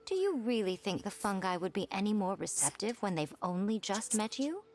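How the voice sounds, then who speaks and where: A woman's voice: mid-range voice, big pitch swings, moderate pace, a little mellow, natural voice. An actress playing a poised, worldly and playfully teasing woman with genteel phrasing in an anime fantasy game. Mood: teasing, instructive.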